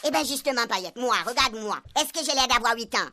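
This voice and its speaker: A woman's voice: fairly high pitch, animated, a little fast, bright, forceful tone. An actress in a comic radio serial playing an exasperated, bossy woman, nagging impatiently. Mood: scolding. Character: Marie-Hélène, exasperated bossy woman scolding a silly colleague.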